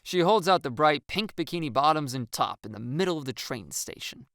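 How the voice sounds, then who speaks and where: Very low-voiced, expressive woman narrator. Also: fairly quick, creaky, no echo, clean studio sound. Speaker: audiobook narrator. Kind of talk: expressive first-person scripted narration.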